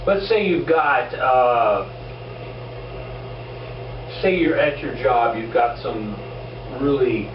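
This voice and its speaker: Hoarse man giving a motivational talk. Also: mid-range voice, slow, pauses often. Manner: earnest, repeats words and restarts often. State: losing his voice, hoarse. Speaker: host of a self-improvement video show. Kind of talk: casual motivational monologue. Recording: roomy, distant, dull and noisy.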